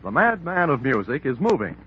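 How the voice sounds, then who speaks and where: A man's voice: mid-range voice, sing-song, a little fast. An American man reading scripted announcements as a 1940s radio network announcer. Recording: dull vintage radio recording over music.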